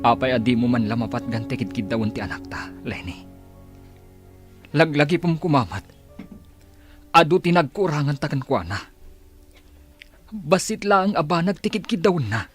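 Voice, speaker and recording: A man plays a loving, regretful father in a radio drama. His voice is medium in pitch, tender and earnest, with faint music underneath. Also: expressive, normal speed, long pauses, lightly acted.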